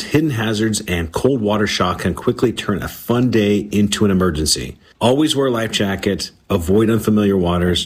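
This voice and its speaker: A man's voice: sits low, husky. A man reading a scripted public service safety announcement.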